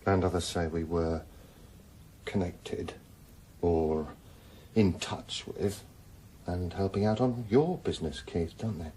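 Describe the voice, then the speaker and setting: An actor playing a sardonic, guarded police detective in a radio crime drama, with a dry manner. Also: male voice, sits low, takes it slowly, pauses often, warm tone, slight background noise. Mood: cool, firm. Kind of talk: 1990s radio drama acting.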